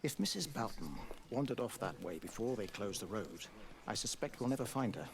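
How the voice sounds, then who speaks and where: An actor playing a measured village doctor with a British accent in a video game, dry and wordy. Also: male voice, fairly low pitch, lively intonation, a crowd in the background.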